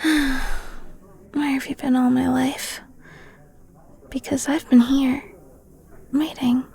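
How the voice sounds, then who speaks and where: High-voiced, playful, flirty cheesemonger played by a woman. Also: flat delivery, very slow, gravelly, darkish tone, exaggerated voice. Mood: soft, flirty. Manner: bubbly, teasing, giggly, breaks into near-whispers. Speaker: audio roleplay voice actor. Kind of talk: intimate flirty audio roleplay, one-sided conversation.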